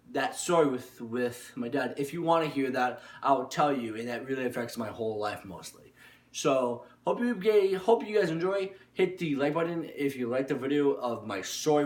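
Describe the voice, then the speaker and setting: A man's voice: on the high side, a bit bright. A man telling a personal story informally to his viewers, rambling and insistent, often repeating himself and restarting phrases. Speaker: storytelling YouTuber. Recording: echoey room, distant microphone.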